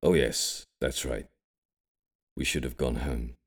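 A man voicing a cryptic old grandfather in an audiobook, gravely and carefully reminiscing about his wartime childhood. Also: very deep voice, fairly even intonation, full character voice. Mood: calm, ominous. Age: older. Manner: careful, measured, ominous storytelling. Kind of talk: audiobook character storytelling.

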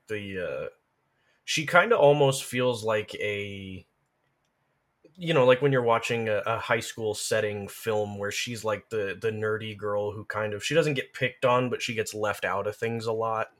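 A man in casual podcast conversation about game lore, thinking aloud in halting phrases. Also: fairly low pitch, a bit bright. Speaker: podcast speaker.

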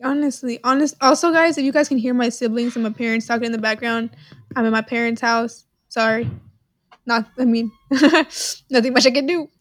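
Podcast co-host, woman, higher voice, dry home recording, animated and joking. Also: moderate pace, no room sound. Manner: animated, exclaims, jokes around. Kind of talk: casual chat solving riddles. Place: at home in her parents' house.

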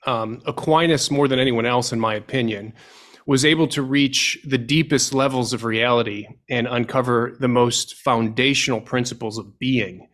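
Man hosting, measured and deliberate. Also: medium pitch, steady tone, a bit bright. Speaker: podcast host. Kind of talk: scripted introduction and interview questions.

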